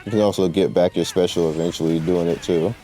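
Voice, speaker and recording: A man's voice: deep voice, fairly even intonation, fast, warm tone, soft background music, poor audio. A man giving casual, informal gameplay commentary, rambling through his explanations in slangy language as he guides viewers. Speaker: gamer presenting a game guide.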